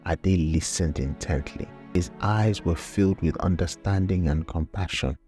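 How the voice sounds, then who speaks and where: Very deep, mellow male storyteller. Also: a little slow, faint music behind. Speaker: narrator. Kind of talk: scripted folktale narration.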